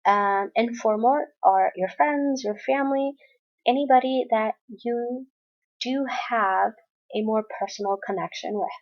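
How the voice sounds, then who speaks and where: A woman's voice: a little high, unhurried, muffled recording. A woman teaching a college English class, explaining exercises step by step in a recorded lesson. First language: Spanish. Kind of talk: recorded screen-share lesson.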